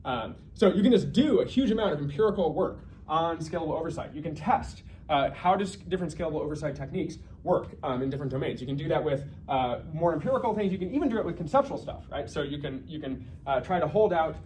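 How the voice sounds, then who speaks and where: A man's voice: a little high, lively intonation, fast, few pauses, darkish tone, a little hiss or hum. A man giving an informal technical lecture as a researcher, thinking aloud and often restarting and correcting himself.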